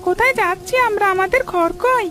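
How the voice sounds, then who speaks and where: A sweet little village boy from a cartoon , voiced by a woman. The voice is extremely high and childlike. He sounds timid and plaintive as he talks. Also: fairly quick, a bit bright. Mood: scared, whining. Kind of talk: cartoon voice acting.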